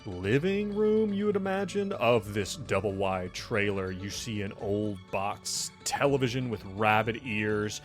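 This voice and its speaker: Guy with a low voice game mastering, chatty descriptive narration, music playing behind him. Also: lively intonation, a little slow, few pauses. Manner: informal, descriptive, addresses the player directly.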